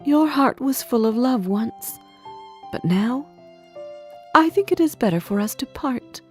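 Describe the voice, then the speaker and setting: A woman voicing a sad, gentle young woman in a storybook, speaking softly and sorrowfully. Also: a little high, expressive, a little slow, soft background music, voice pushed up. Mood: tender sadness. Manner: soft, sorrowful.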